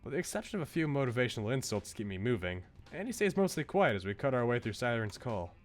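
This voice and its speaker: A man's voice: mid-range voice, big pitch swings, fast. A man streaming a visual novel, reading aloud expressively and adding chatty asides. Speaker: game streamer. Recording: game music behind the voice. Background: video game music.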